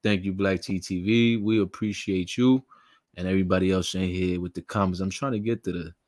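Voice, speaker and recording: A man's voice: deep voice, fairly even intonation, brisk, a little mellow, dry recording, clean studio sound. A man hosts a live online show, chatting loosely in slang and thanking viewers by name. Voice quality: raspy. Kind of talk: casual livestream chat.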